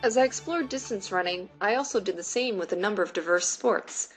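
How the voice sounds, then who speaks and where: A woman in her late twenties from New York City, whose first language was Mandarin Chinese, reading a personal essay aloud in a measured, deliberate way. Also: mid-range voice, faint music behind, low-quality recording. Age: late 20s.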